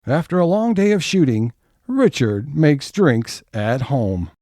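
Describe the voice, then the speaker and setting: A man playing the narrator of an audio theatre comedy, reading scripted scene-setting lines in a measured way. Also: on the high side, sing-song, warm tone.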